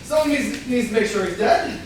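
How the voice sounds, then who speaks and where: Bumbling mystery guest played by a man, hesitant delivery with big pitch swings, noisy and far off. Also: lively intonation. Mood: uneasy. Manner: halting, hemming. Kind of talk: staged reading of a stage comedy. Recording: very echoey room, distant mic, noise.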